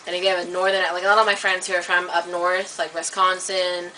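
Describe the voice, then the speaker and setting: A woman vlogging in a casual, rambling monologue to camera, full of "like" and "um", getting animated and high when worked up. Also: fast talker. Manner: chatty, filler-heavy, many "like" and "um" restarts. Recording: distant webcam mic with faint hiss.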